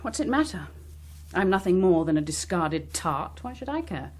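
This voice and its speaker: A proud, embittered Englishwoman in a political drama. Her voice is husky and swings widely in pitch, with clipped, sardonic phrasing and open defiance. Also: very animated, normal speed. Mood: bitter, angry. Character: bitter, proud, defiant young woman in Westminster. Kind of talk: naturalistic political drama dialogue.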